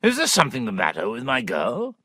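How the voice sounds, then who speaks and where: A man reading an audiobook, voicing a blustering, hot-tempered old country squire who speaks in loud, abrupt exclamations. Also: mid-range voice, wildly swooping pitch, a little fast, no echo, exaggerated voice. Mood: worried. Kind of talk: audiobook character voice.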